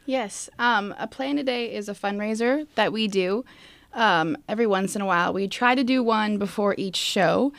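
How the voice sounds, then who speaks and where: Creaky-voiced woman explaining plainly. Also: mid-range voice, normal speed, no room sound. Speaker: interview guest, theater youth board leader. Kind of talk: casual interview answers.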